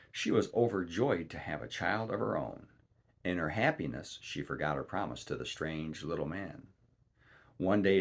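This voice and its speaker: A man reading a bedtime story in an ASMR style, husky and gravelly, with dramatic delivery and wry, casual asides. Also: sits low.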